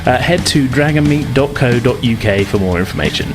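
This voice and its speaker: A man hosting a podcast and narrating as game master, relaxed and full of "uh" fillers. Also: medium pitch, brisk, music in the background.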